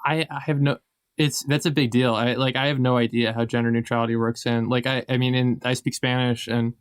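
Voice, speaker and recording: A man co-hosting a podcast in casual chat, with an informal, halting and wry way of talking. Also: mid-range voice, level tone, smooth, no echo, studio quality. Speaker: podcast co-host.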